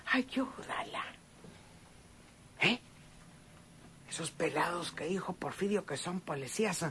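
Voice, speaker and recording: A woman's voice: low-pitched, a little hiss or hum, heavily acted. A woman playing an anxious, battered country soul muttering alone in a rustic way, in a radio drama.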